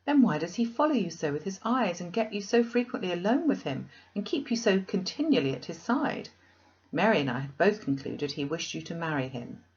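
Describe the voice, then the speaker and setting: A woman voicing an outspoken, affectionate young gentlewoman, emphatic and questioning, in a classic novel. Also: fairly low pitch, very animated, fairly quick, highs cut off. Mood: eager.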